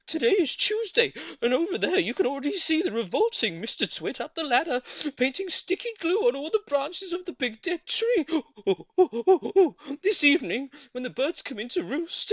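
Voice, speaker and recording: A man voicing an excitable, urgent monkey father in a children's audiobook, exclaiming and stammering. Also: very high voice, no echo, voice pushed up, full character voice. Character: frantic excitable African monkey father in a cage.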